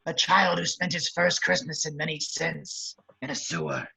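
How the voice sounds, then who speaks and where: A man playing a pitiful outcast villain at a script read, speaking slowly, earnestly and haltingly in a gravelly voice. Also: on the high side, big pitch swings, bright, forceful tone, heavily acted, poor audio. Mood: earnest, plaintive. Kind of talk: table read of a film script.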